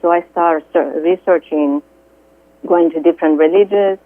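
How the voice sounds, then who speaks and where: A middle-aged woman from Greece with a Greek accent, a guest speaking earnestly and haltingly, with many fillers, over the phone. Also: lowish voice, no echo. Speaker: guest, Reiki healer. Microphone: telephone. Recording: tinny dry phone line.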